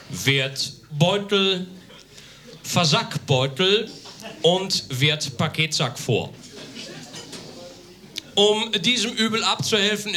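Bright-voiced German man, mock-formal comic reader. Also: a little high, slight background noise. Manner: mock-formal, deadpan, emphatic declamation. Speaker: comedic reader on stage. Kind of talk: live comic reading. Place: live on stage in a theatre café, audience laughter behind.

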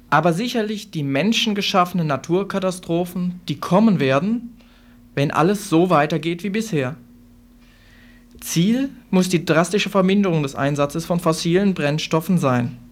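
Man delivering a formal scripted commentary. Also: sits high. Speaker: expert commentator.

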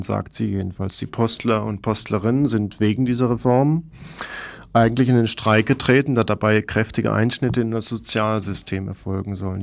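A man's voice: fairly low pitch, gravelly. A man reading scripted political news commentary on the radio in an opinionated, pointed way.

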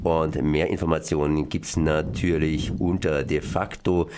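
A man hosting a radio interview, relaxed and joking. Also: very deep voice, measured pace, noisy background. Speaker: radio host.

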